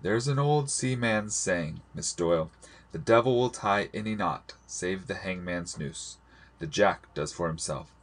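Man acting an earnest, low-voiced old sailor. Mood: pleading, insistent. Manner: measured, formal, insistent.

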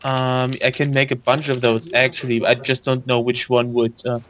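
A man's voice: mid-range voice, little pitch movement, moderate pace, fairly bright tone, slight background noise. A man chatting casually with friends in a voice call while playing an online game, restarting his sentences often. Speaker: gamer in voice chat.

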